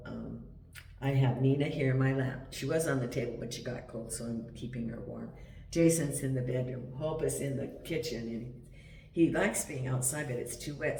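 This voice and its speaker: A man with a croaky, gravelly voice from a cold talks through a tarot spread in an easygoing, rambling way. He sits back from the mic in an echoey room, with a faint hiss underneath. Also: mid-range voice, darkish tone, distant mic. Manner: chatty, meandering, with many ums. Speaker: tarot reader. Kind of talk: casual tarot reading to camera. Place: home in Portugal.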